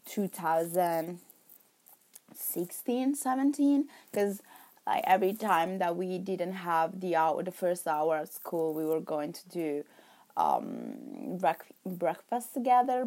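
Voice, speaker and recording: A young woman from Italy, speaking English with an Italian accent, chatting casually to camera in a rambling, self-correcting way. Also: lowish voice. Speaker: vlogger.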